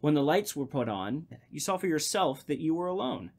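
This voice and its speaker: An actor playing a skeptical but caring brother in a radio drama. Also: male voice, fairly high pitch, sing-song, moderate pace. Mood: skeptical concern. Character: Brian, skeptical but caring older-sibling type brother.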